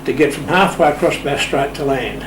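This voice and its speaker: Older Australian male interviewee, plain-spoken and wry, roomy sound over a clear hum. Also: fairly high pitch, a little fast. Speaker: interview guest, sailing official. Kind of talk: conversational interview, anecdotal. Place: indoor room, radio shack. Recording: roomy, distant mic, steady hum.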